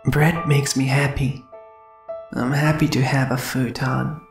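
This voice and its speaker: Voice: medium pitch, little pitch movement, a little slow, faint music behind, lightly acted. A performer voicing a brash, simple-minded teenage boy devil hunter in a comic dub, blunt and boyish. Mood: eager. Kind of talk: fan comic dub, anime acting.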